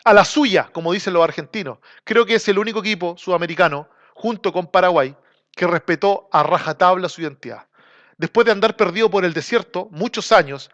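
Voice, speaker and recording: A man's voice: high-pitched, a bit bright, lo-fi sound. A Chilean man with a Chilean accent hosting his own football show, talking casually and emphatically to a small camera, full of slang. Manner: colloquial, slangy and emphatic, drinking while talking.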